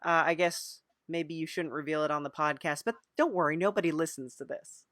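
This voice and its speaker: A woman with a fairly low voice hosts an improvised comedy interview. She speaks haltingly, with a deadpan manner. The recording is very dry, without room sound. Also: no echo. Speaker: podcast host.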